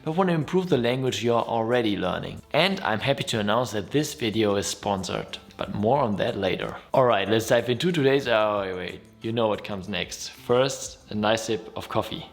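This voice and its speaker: A man's voice: on the low side, animated, moderate pace, gravelly, hardly any pauses, faint music behind. A man from Germany with a German accent, a native German speaker hosting a casual explainer vlog in a friendly, upbeat, conversational way. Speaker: YouTube host.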